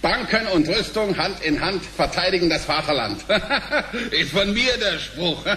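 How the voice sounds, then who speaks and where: An actor playing a brash, crude and jovial company director in a radio play, blustering in blunt, slangy exclamations with an excitable delivery. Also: male voice, high voice, measured pace, slight background noise, exaggerated voice. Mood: boastful, amused. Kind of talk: German radio play acting.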